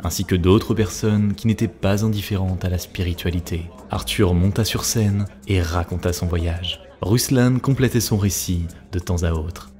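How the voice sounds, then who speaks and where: A man's voice: low voice, moderate pace, hardly any pauses, dark tone. A man narrating a scripted story for a cartoon, as a storyteller. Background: music.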